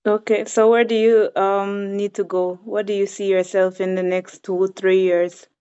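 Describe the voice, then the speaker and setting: A woman's voice: medium pitch, flat delivery, measured pace, dark tone, clean tone, dull audio. A woman hosting a talk show, asking curious, short questions in casual chat.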